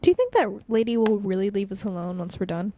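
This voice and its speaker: A woman's voice: medium pitch, sing-song, fast, dark tone, a little hiss or hum, natural voice. A woman playing a blunt, hot-tempered but caring young vigilante in a naturalistic audio drama, speaking emphatically. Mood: conspiratorial.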